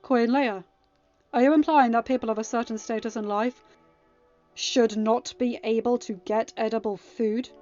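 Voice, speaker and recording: A woman's voice: fairly high pitch, steady tone, moderate pace, darkish tone, highs cut off, faint music behind, close to an everyday voice. A woman voicing a stern, righteous sultan with a hard, pointed delivery in a storybook reading. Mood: hard, challenging.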